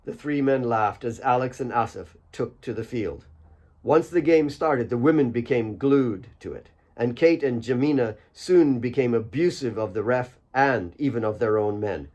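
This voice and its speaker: A man's voice: mid-range voice, normal speed, a little mellow. A man reading his own fiction as an audiobook, in scripted storytelling narration.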